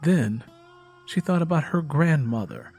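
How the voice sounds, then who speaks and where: A man's voice: on the high side, expressive, measured pace, a little mellow, breathy edge. Male audiobook narrator over faint music.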